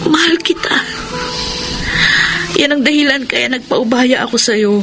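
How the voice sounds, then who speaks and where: A woman's voice: high-pitched, measured pace. An actress playing a lovesick, insistent young woman in a Filipino radio melodrama, with pleading, emotive delivery. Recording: radio drama with music underneath.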